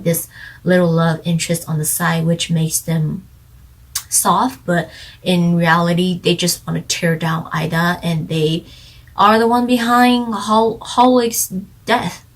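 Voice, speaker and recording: A woman's voice: on the low side, poor audio. A woman chatting casually as she reviews a drama, rambling and speculating with lots of fillers. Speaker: drama reviewer, channel co-host.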